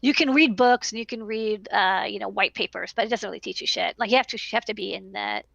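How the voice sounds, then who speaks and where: A woman's voice: medium pitch, fast talker, vocal fry, a bit bright, no room sound, highs cut off. A woman in her thirties chatting casually on a livestream through a headset, informal and emphatic, repeating words for stress. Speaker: electronics business owner giving advice. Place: small indoor room.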